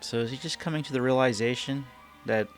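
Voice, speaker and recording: A man's voice: medium pitch, a little fast. A man hosting a reaction video, giving casual, informal commentary and talking back to what he watches. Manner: informal, talks back to the show. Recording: old TV soundtrack playing behind. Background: music and dialogue of an old TV show.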